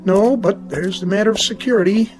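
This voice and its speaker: A man's voice: high-pitched, fairly quick, over music, natural voice, low-quality recording. A man acting in a radio drama as a friendly school caretaker with casual, plain-spoken, clipped phrasing. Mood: easygoing.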